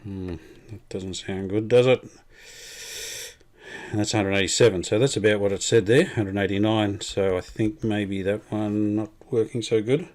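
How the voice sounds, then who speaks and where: Low-voiced man thinking aloud at the workbench. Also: fairly even intonation, moderate pace, darkish tone. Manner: thinking aloud, hesitant, many ums and restarts. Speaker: radio restorer, channel host. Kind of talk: casual workshop commentary while working. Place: home workshop bench.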